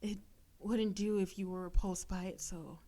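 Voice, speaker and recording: A woman voicing a possessive, seductive female demon in an intimate audio roleplay, teasing and coaxing with theatrical menace, sometimes breathy. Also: mid-range voice, steady tone, moderate pace, mellow, lightly acted. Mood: adoring, possessive. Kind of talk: intimate audio roleplay, scripted monologue to listener.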